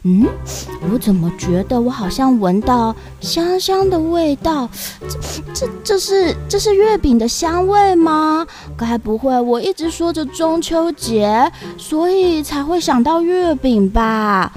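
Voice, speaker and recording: A woman with a Taiwanese Mandarin accent, hosting a children's story show in a playful, sing-song way with drawn-out particles. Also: high-pitched, animated, a little mellow, faint music behind. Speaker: storyteller host.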